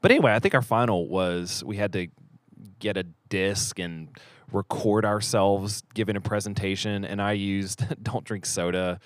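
Low-pitched man, rambling and jokey, close dry sound. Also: normal speed, no echo. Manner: rambling, jokey and self-deprecating; mumbles, trails off at sentence ends. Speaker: podcast host. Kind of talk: casual podcast banter.

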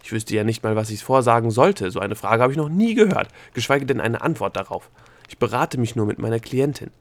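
A man's voice: medium pitch, brisk, gravelly, husky. A man doing a flustered, stammering gnome. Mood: flustered protest. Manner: stammering, hesitant, often hissing and whispering. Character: nervous, stammering male gnome, flustered, wheedling adviser. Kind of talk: audiobook character voice.

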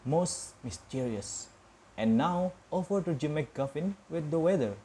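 A man's voice: on the high side, animated, a little slow, warm tone, a little hiss or hum. A man doing a polished evening newsreader. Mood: newsy. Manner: polished news-anchor delivery.

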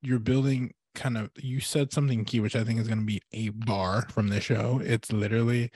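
A man hosting a podcast interview in a casual, rambling way, thinking out loud with many fillers. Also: fairly low pitch, level tone, brisk, husky, no room sound. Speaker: podcast host.

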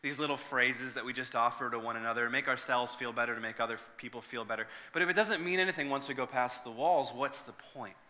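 Male pastor, 30s, earnest and conversational, bright voice, long hall reverb. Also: mid-range voice, quick pace, hardly any pauses. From Northern Ireland. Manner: earnest, conversational, with frequent restarts and fillers. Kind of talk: sermon with prayer and personal story.

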